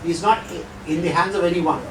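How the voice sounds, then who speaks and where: A middle-aged Indian man gives a lecture to an audience in a reverberant hall, a little higher-pitched than most men. He sits back from the microphone, so the sound reaches it thinly. He talks in a conversational way and keeps tossing rhetorical checks to the room. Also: brisk, background noise. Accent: Indian English. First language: not Hindi. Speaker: guest lecturer. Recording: echoey hall, distant mic.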